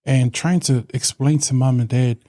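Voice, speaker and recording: Samoan-New man, mellow and reflective. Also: mid-range voice, steady tone, normal speed, dry recording. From New Zealand. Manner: reflective, with many restarts and fillers. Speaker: podcast guest. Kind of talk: casual interview talk.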